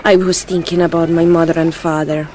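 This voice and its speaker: An actress playing a gentle but shrewd young runaway bride in a radio drama. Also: female voice, lowish voice, level tone, a little hiss or hum, natural voice. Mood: calm. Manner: soft, measured, slyly practical. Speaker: young wife.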